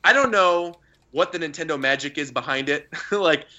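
A young man co-hosting a podcast, telling stories with excitement and laughing often. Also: fairly high pitch, moderate pace, lo-fi sound. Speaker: podcast co-host. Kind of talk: casual podcast chat.

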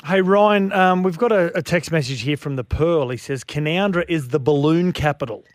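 Teasing male co-host, a little high. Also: moderate pace, dry recording, studio quality. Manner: teasing and playful. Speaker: radio co-host. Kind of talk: casual sports radio chat.

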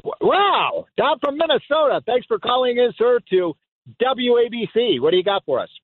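A man hosting a call-in talk radio show, emphatic and excitable, often laughing as he talks. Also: very high voice, moderate pace, no echo. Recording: tinny phone-like sound.

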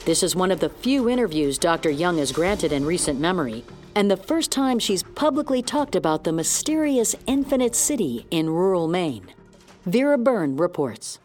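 A woman's voice: on the low side, big pitch swings, moderate pace, darkish tone, soft background music. A woman playing a polished news anchor in an audio drama, reading a scripted broadcast introduction. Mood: formal, poised.

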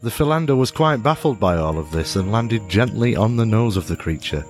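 A man's voice: on the low side, expressive, brisk, lightly acted. A man acting as a storyteller in an audio drama, narrating a fable in a dramatic storybook style. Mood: measured storytelling. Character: man telling a fable to a youngster. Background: music.

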